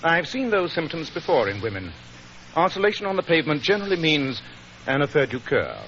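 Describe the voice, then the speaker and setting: A man playing a cool, analytical English gentleman detective in 1940s radio drama, precise, composed and authoritative. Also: on the high side, sing-song, brisk. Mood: cool, assured. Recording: noisy, dull 1940s radio recording.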